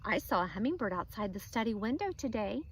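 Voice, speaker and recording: A woman's voice: mid-range voice, very animated, a little fast, husky, poor audio. A woman reading a chatty genteel wife.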